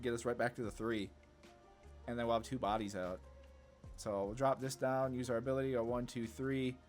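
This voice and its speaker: A man giving casual, laid-back gameplay commentary on a card game, thinking aloud as he plays. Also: medium pitch. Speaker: gaming content creator.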